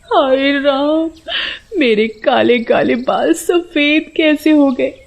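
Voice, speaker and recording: Woman acting a high-pitched, plaintive village wife. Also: takes it slowly, vocal fry, exaggerated voice. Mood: wailing. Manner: high, plaintive wailing exclamations.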